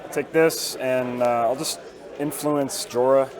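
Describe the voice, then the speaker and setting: A hoarse-voiced man talking casually as he plays a tabletop wargame. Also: medium pitch, fairly even intonation, a little slow. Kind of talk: casual game talk. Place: game store during a tournament. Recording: busy game store crowd behind.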